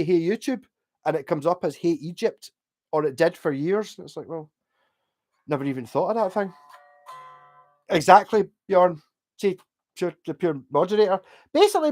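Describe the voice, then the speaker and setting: A man's voice: high-pitched, a little mellow. A man with a Glasgow accent rambling casually and swearing freely as a livestream host, reading out and answering viewers' comments. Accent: Glaswegian. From Glasgow, Scotland. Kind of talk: casual chat.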